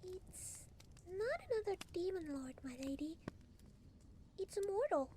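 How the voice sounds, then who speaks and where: A woman voicing a timid, deferential demon lord's servant in an ASMR roleplay, meek and hesitant with breathy delivery. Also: extremely high pitch, big pitch swings, measured pace, mellow, slight background noise, voice pushed up, heavily acted. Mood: meek, nervous.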